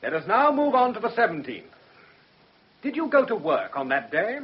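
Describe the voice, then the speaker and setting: A shrewd English defence barrister with a Received Pronunciation accent. His voice sits a little high and moves expressively, yet stays formal and precise. The radio drama recording is dull and muffled. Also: sing-song. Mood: formal, probing. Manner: formal, precise, measured questioning. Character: shrewd, controlled male defence barrister under pressure.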